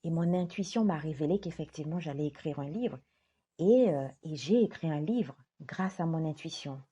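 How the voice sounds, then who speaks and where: Woman life coach, low-pitched, mellow and husky, gentle and earnest delivery. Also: lively intonation, moderate pace, dull audio. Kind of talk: direct-to-camera talk.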